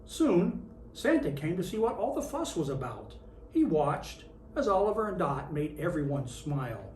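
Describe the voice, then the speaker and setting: A reader in character as Santa Claus, reading a children's picture book aloud with expressive storytelling. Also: medium pitch, moderate pace. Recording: roomy, distant mic with faint noise.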